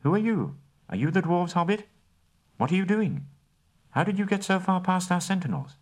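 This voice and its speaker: A man playing a sharp, high elf guard. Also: big pitch swings, moderate pace. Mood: demanding. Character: wary male elf guard.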